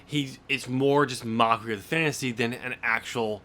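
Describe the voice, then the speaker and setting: A man with a bright, forceful voice at an ordinary pitch hosts a relaxed book discussion. He speaks in a halting way, breaking off and restarting his sentences as he works through a thought. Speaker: podcast host and novelist.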